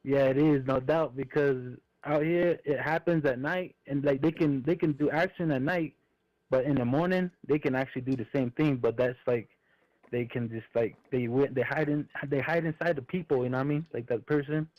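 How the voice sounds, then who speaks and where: A man's voice: mid-range voice, normal speed, no echo. A man from New Mexico calling in by phone, telling personal stories casually in slangy, hesitant speech. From New Mexico, USA. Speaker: phone-in caller. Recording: dull, lo-fi phone call audio.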